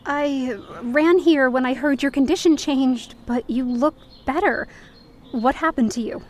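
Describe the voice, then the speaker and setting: A woman's voice: high-pitched, measured pace, reverberant, recorded from a distance, a little hiss or hum. A woman playing an emotional young woman who narrates her own story in an audio drama. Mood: relieved, happy. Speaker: first-person narrator and lead.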